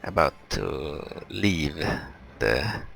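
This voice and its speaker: Man, rock-bottom pitch, bright forceful edge, casual game commentary, halting, explanatory. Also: animated, gravelly, faint music behind. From Europe. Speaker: gaming video host. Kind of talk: casual let's play commentary.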